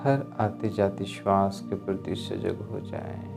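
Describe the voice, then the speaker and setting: A man giving guided meditation instruction, speaking calmly in measured phrases with pauses as an instructor. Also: low voice, gravelly. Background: music.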